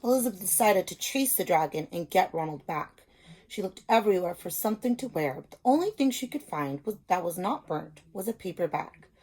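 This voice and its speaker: A woman's voice: lowish voice, animated, a little fast, darkish tone, rough recording. A woman speaking as a reading teacher, explaining simply and reading a story aloud to children, stressing key words.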